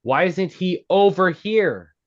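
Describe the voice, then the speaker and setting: A man's voice: high-pitched, expressive, slow, voice pushed up. A man at a script table read playing a blunt, sarcastic woman who keeps a club's books, curt and mocking. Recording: dull, lo-fi sound.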